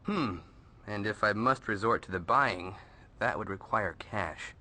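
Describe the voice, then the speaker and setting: A man's voice: on the low side, measured pace, sharp tone, heavily acted. Wisecracking American teen guy, piercing. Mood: mock-offended. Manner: joking, sarcastic. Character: wisecracking, cocky teenage boy sidekick. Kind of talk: video game voice acting.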